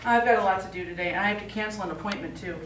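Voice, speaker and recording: A woman's voice: medium pitch, very fast, fairly bright tone, lightly acted. An actress playing a grown daughter in a stage play, teasing yet businesslike as she talks with her elderly father. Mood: brisk, dry. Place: small theatre stage, recorded from the audience. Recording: echoey theatre, far mic, noisy.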